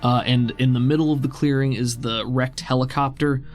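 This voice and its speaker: A man's voice: mid-range voice, fairly even intonation, fairly quick, darkish tone. A man acting as game master, describing scenes in a conversational storytelling way for a tabletop roleplaying game. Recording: music behind the voice. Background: background music.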